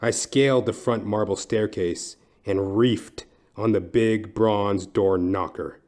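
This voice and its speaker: A man playing a wry, down-at-heel private eye in a comic noir detective play, deadpan and measured with dramatic pauses. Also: fairly low pitch. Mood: world-weary.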